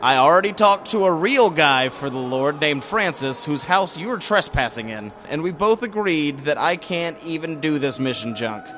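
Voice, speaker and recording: A man's voice: fairly high pitch, animated, normal speed, hardly any pauses, pushed and bright, a little hiss or hum. A man playing a grumpy, reluctant monster-hunting hero in a comic audio drama, sarcastic and exasperated. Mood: exasperated, defensive. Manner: exasperated, stilted heroic phrasing with slangy gripes. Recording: music behind the voice.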